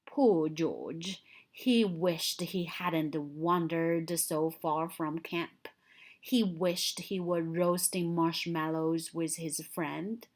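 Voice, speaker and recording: A woman reading a picture book aloud to young children in an expressive storytelling style, with dramatic emphasis on key moments. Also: deep voice, extremely slow.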